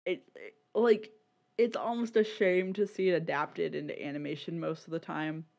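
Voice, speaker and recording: A podcast co-host chatting casually about media in a rambling, halting, sweary way, often repeating words. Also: medium pitch, steady tone, vocal fry, darkish tone. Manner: informal, sweary.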